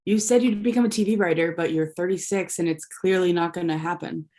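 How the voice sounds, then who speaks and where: A woman reads a girlfriend in her thirties who is leaving and is done arguing. She sounds cool and matter-of-fact, with a slightly low voice. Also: fairly even intonation, a little fast, warm tone, close to an everyday voice. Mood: cold.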